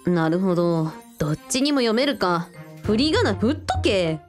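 A woman's voice: medium pitch, sing-song, moderate pace, natural voice. Blunt, bossy elder sister played by a woman, swooping intonation, radio drama, light music behind. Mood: matter-of-fact. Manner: blunt, inquisitive, teasing. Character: bossy, curious big sister, brisk and teasing.

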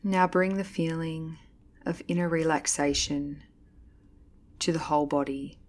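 A woman leading a guided yoga nidra meditation as an instructor, reading calmly and deliberately with measured pauses. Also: low-pitched. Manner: calm, soothing, slow, deliberate pauses. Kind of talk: guided meditation, scripted narration.

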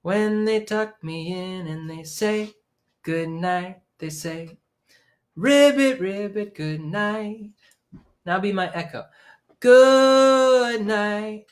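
A man in his thirties leading young children in songs with hand signs, gentle and encouraging, recorded on a webcam in a small room at home. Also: sits high. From Maine, US. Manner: gentle, encouraging, slow sing-along. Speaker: children's music teacher. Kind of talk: singing children's songs with sign language. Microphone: webcam at desk distance.

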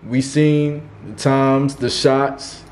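A man's voice: medium pitch, level tone, drawn-out words, a little mellow, a little hiss or hum. A man interviewing a guest, asking questions in an easygoing, slang-filled way.

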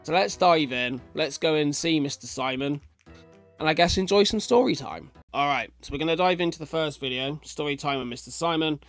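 A man's voice: a little high, a little fast, faint music behind. A man in his thirties giving casual reaction commentary, musing aloud and talking informally to viewers. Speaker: reaction video host. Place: indoors, seated at a desk.

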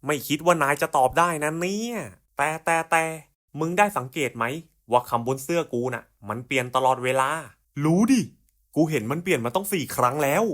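A man's voice: on the high side, animated, brisk, fairly bright tone. A man voicing scripted cartoon puzzle skits in casual, slangy, coarse buddy-style banter.

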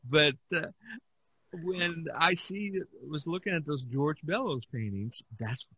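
A man hosting a podcast in casual chat, speaking haltingly and repeating words as he searches for phrasing. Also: a little high, lively intonation, pushed and bright, husky, poor audio. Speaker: podcast host.

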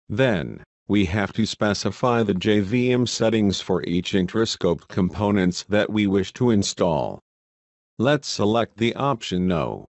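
A man reading a scripted instructional narration in a measured, procedural manner, as a tutorial voice-over. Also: on the low side, dry recording.

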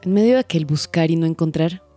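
A woman's voice: low voice, fast talker, dark tone, soft background music, close to an everyday voice. A woman playing a cold, calculating, vengeful businesswoman confessing in an audio drama. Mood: cool, knowing. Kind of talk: scripted dramatic monologue.

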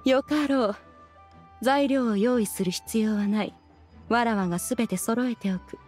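Haughty ancient immortal played by a woman, slightly high. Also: moderate pace, darkish tone, faint music behind, lightly acted. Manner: archaic, imperious, formal speech. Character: haughty ancient female immortal in human form. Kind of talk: video game voice acting.

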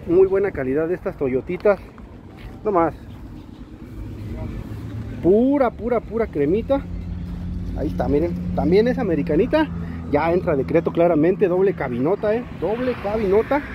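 A Mexican man with a fairly high voice walks through an outdoor used-car market, hyping each vehicle in a lively, slangy sales patter. He is recorded on a handheld camera, with clear outdoor street noise behind him. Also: moderate pace, pauses often, lo-fi sound. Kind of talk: walk-around car showcase.

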